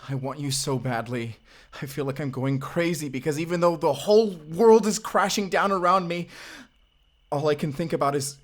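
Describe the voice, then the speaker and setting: A man's voice: a little high, moderate pace, lightly acted. A man playing an earnest, tender and tormented Spanish vampire slayer with a Spanish accent, speaking intimately as in a romantic audio roleplay. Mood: desperate longing.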